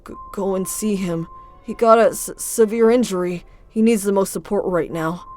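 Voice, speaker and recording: A woman's voice: medium pitch, normal speed, a little mellow, faint music behind, close to an everyday voice. A woman voicing a guilty, self-loathing teenage boy who stammers anxiously. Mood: resigned.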